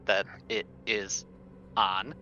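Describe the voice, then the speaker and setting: A man's voice: on the low side, flat delivery, extremely slow, pushed and bright, muffled recording. A man chatting casually as a tabletop game player, with faint noise on his microphone.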